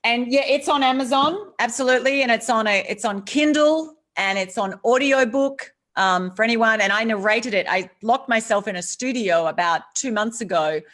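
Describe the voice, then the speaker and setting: A woman's voice: fairly high pitch, moderate pace, few pauses, a bit bright. Earnest Australian woman, reflective. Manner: earnest and reflective, with many restarts. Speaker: author guest. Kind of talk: casual interview chat.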